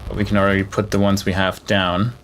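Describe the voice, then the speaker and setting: A man's voice: sits low, completely flat delivery, quick pace, a little hiss or hum. A man giving casual live gameplay commentary, thinking aloud as he plays. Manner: thinking aloud while playing. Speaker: game streamer.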